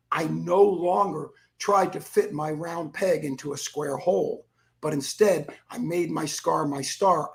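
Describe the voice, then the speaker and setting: A man with a froggy, rough voice telling stories as an energetic interview guest, acting out the quotes. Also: high-pitched. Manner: energetic storyteller who acts out quotes. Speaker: guest, keynote speaker and author. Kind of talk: animated interview talk.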